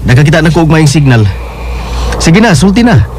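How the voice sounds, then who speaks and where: A man's voice: on the high side, big pitch swings, fairly quick. A man with a Bisaya accent playing a concerned older brother in a radio drama. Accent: Filipino, Bisaya. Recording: clear background noise.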